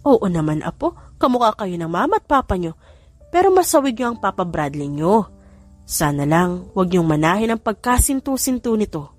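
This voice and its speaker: A woman's voice: mid-range voice, big pitch swings, moderate pace, dry recording, soft background music. A woman voicing a teasing, bossy, wealthy grandmother in an audiobook story. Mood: reassuring, teasing.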